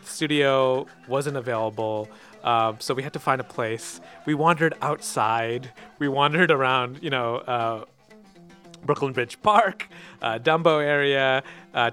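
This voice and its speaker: A man hosting an interview, relaxed and rambling with plenty of fillers, recorded outdoors. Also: mid-range voice, normal speed, faint music behind. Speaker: interviewer and host. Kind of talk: casual interview. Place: outdoors near a park.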